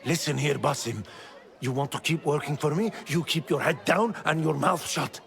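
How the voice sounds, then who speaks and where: An actor playing a weary, gruff older fence in a game cutscene, stern and admonishing but protective. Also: male voice, fairly high pitch, normal speed, a bit bright, some chatter behind. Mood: scolding. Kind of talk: cinematic game cutscene acting.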